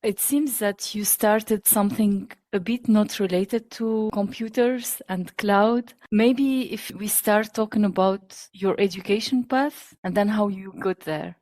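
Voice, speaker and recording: A woman's voice: mid-range voice, steady tone, measured pace, few pauses, a little mellow, dry recording. A woman hosting a podcast, introducing her guest and putting questions in an interview style. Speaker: podcast host.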